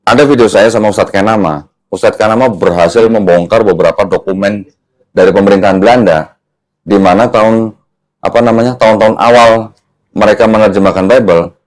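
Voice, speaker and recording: Low-voiced man teaching in conversation. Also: steady tone, a little fast, rough recording. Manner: didactic, checks the listener follows. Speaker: host of a religious discussion. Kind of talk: conversational teaching with leading questions.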